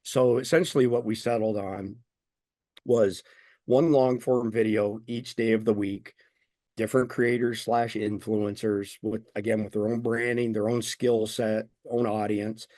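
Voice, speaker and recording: A man's voice: steady tone, unhurried, mellow, no room sound. A man being interviewed as a guest, explaining his points confidently and at length. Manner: confident, explanatory, making a pitch. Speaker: guest, survival news founder. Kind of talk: interview answers.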